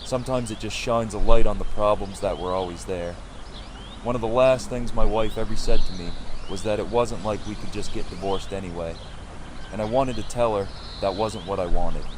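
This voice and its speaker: An actor playing a weary, earnest community leader in an audio drama, with a measured delivery. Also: male voice, fairly low pitch, lightly acted. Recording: clearly noisy outdoor ambience behind voice.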